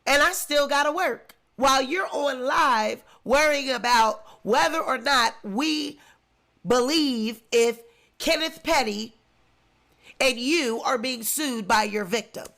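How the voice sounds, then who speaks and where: A woman's voice: high voice, slow, fairly bright tone. A congested woman with the flu giving indignant, emphatic celebrity commentary in a vlog. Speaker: commentary vlogger.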